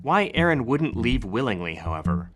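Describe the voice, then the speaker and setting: An actor playing an analytical case narrator in an audio drama, reading scripted narration in a measured, deliberate way. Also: male voice, medium pitch, big pitch swings, over music, close to an everyday voice. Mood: grave, measured.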